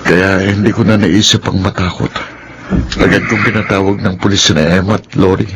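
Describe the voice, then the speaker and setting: A loyal, humble employee in a radio drama. His voice is low and earnest, thinking aloud with anxious concern over clear background noise. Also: fairly even intonation, a little fast. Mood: respectful, earnest. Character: loyal, kind-hearted male employee of modest means, anxious. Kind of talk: radio drama acting with spoken inner monologue.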